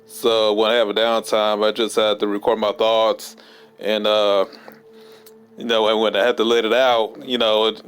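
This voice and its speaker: A man vlogging casually at work, hoarse from a cold, talking in halting runs full of fillers and restarts. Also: on the low side, little pitch movement, faint music behind. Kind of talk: casual rambling monologue. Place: at work during downtime.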